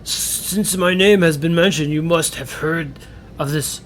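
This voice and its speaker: A man voicing an unsure knight captain and father, halting, with questioning upward endings. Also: fairly high pitch, a bit bright, slight background noise. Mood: solemn, uncertain.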